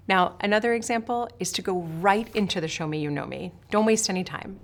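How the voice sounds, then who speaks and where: Woman sales coach from Switzerland; direct, emphatic instructional delivery to camera, middle pitch. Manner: emphatic, direct, addresses viewer. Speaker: sales trainer. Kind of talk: scripted instructional talk to camera.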